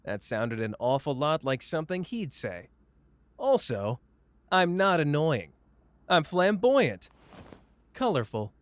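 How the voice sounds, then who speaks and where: A man's voice: on the high side, sing-song, takes it slowly, pauses often, no room sound. A man playing a cocky, flamboyant young sorcerer who teases the listener in a playful, smug roleplay audio drama. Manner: playful, smug, drawling teasing.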